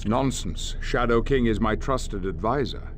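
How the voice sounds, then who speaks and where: An actor playing an imperious yet easily swayed emperor in a video game, speaking in a formal, regal manner. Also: male voice, fairly low pitch, animated, unhurried, a little hiss or hum. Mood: haughty, wavering. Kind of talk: video game cutscene acting.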